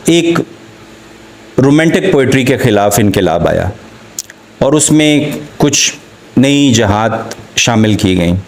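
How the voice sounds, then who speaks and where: A man lectures on literature in a Pakistani accent. His voice sits at a medium pitch and moves slowly. He is formal and deliberate, often halting mid-sentence before carrying on. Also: a little mellow, low-quality recording. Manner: halting, deliberate, with frequent hesitations and pauses. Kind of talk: formal academic lecture with poetry quotations.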